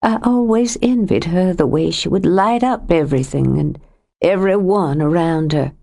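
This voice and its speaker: A woman voicing a steady, plainspoken woman who talks calmly and firmly in an audiobook. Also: on the low side, moderate pace, creaky. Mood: earnest. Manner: calm and firm, gently blunt. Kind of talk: audiobook character reading.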